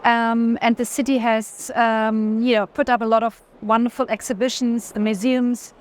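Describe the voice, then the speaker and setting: Female collector as interview guest, higher voice, unscripted and hesitant. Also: level tone, normal speed. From Germany. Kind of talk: unscripted conversation.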